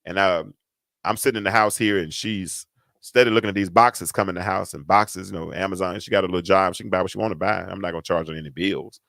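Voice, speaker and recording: Middle-aged guy w a deep booming kind of voice, forceful, keeps repeating stuff for emphasis, talk show host vibe. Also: steady tone, fast talker, vocal fry, no echo, clean studio sound. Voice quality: deep, stentorious voice. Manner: emphatic, repeats words for effect, folksy asides. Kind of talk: casual storytelling to an audience.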